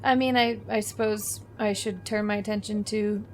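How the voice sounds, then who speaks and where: A woman with an average-pitched voice plays in an improvised tabletop game. She talks casually, with wry, halting phrasing, and there is faint noise in the recording. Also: steady tone, a little mellow.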